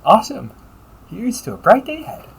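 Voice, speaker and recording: A man voicing an upbeat, teasing AI companion in an audio roleplay, polite and fussily helpful, with a gently nagging manner. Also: very high voice, huge pitch swings, fairly quick, creaky, slightly rough, heavily acted. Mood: cheerful. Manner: polite, chirpy, gently nagging, butler-like phrasing. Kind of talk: scripted audio roleplay addressed to the listener.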